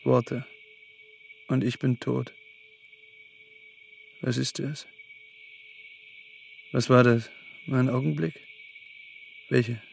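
An actor playing a brooding, desperate young son in a radio drama, speaking in terse, fragmented phrases. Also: male voice, medium pitch, fairly even intonation, very slow, vocal fry, pauses often, darkish tone, slight background noise, heavily acted, poor audio. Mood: despairing, brooding.

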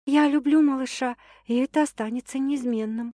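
Feisty, emotional young woman in love, higher-pitched as acted by a reader. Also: unhurried, no echo, clean studio sound. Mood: earnest. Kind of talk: audiobook romance dialogue.

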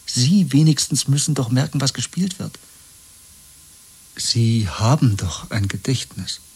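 Younger rebel, breathy husky tone, mid register, earnest and urgent, 1970s radio play. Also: male voice, medium pitch, expressive, measured pace, heavily acted. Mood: urgent, insistent. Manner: urgent, pressing, intense. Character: earnest, insistent younger man, secretly rebellious, weary.

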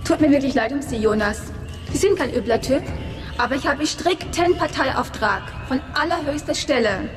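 A cool female villain in a sci-fi radio thriller, speaking high with lots of movement in the pitch. She is courteous, mocking and merciless, her voice ringing in a long cavern echo. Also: expressive, moderate pace. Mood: cool, smug. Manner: polite, mocking, coldly amused. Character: cool, ruthless female party agent and villain. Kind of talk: German sci-fi radio crime drama acting. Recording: echoing cavern reverb, music behind.

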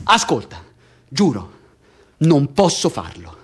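Hot-headed prisoner, high swooping voice. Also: male voice, very animated, unhurried, husky, heavily acted. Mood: pleading, warning. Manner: vehement, coarse, repeating himself in agitation. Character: hot-headed, stubborn, foul-mouthed male political prisoner. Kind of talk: theatrical radio drama acting.

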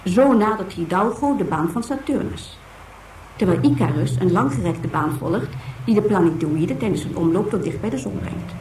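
An actress voicing a formal, instructive information tape in scripted documentary style within a radio play. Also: female voice, mid-range voice, expressive, moderate pace, darkish tone, slight background noise, lightly acted. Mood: formal, informative. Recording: echoing, distant, music behind.

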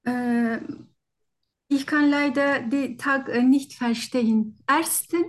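Hesitant, high-voiced woman with foreign-accented German. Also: steady tone, slow. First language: not German. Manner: hesitant, searching for words, formal and polite. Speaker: doctor taking a patient history in exam practice. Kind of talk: halting structured medical interview in role-play.

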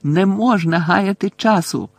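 A man voicing an angry, impatient teenage boy wizard in an audiobook, snappish and loud. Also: on the high side, normal speed, breathy edge, no echo. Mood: frantic, impatient. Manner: shouting, snappish.

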